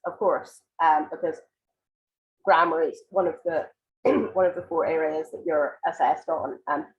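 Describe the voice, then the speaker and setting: Lady teacher with a cold, croaky and stuffy, really low voice, kinda dull lo-fi audio. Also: a little slow. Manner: explains patiently with many ums and restarts. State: has a cold, croaky and congested. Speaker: IELTS writing teacher. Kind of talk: live online lesson, answering viewer questions.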